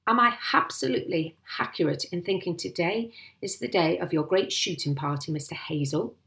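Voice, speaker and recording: A woman voicing a pompous, stern male village police sergeant in a storybook, ponderous and formal, with misplaced aitches and rough grammar. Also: on the low side, normal speed, a bit bright, reverberant. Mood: officious, probing.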